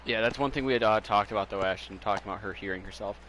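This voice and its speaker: A man's voice: fairly low pitch, racing, slight background noise. A man streaming a baseball video game, chatting casually and laughing as he teases a friend. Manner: laughing, teasing, animated. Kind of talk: casual chat.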